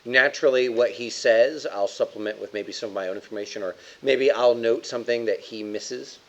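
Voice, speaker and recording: A man's voice: mid-range voice, a little fast. A man chatting casually as a player in a tabletop game. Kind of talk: casual chat. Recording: dull lo-fi microphone sound.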